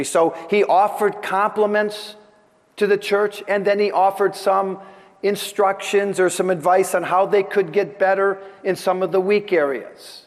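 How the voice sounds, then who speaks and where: A long reverb surrounds this man as he preaches to his congregation. His voice sits somewhat high for a man and sounds slightly off from a recent throat infection. He speaks deliberately and earnestly, leaving pauses for emphasis. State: voice slightly off after strep throat. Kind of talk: sermon. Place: large church hall during a service.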